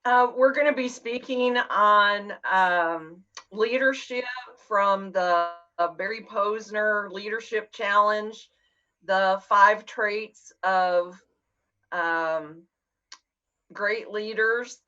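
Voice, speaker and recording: A woman on a video call previewing an upcoming talk, friendly and encouraging, pausing to think. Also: mid-range voice, sharp tone, dull audio. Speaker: co-presenter announcing next session.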